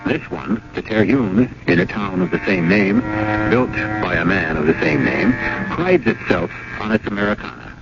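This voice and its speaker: A man's voice: deep voice, sing-song, moderate pace, very noisy. A man hosting a radio drama, narrating scripted suspense in a wry, rhetorical way. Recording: dull lo-fi old radio with loud music.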